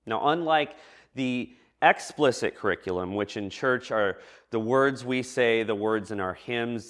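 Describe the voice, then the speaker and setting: A man preaching a reflective message to a congregation in a measured, thoughtful way with deliberate pauses. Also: lowish voice, fairly bright tone. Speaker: preacher giving a church message. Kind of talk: reflective sermon addressed to a congregation.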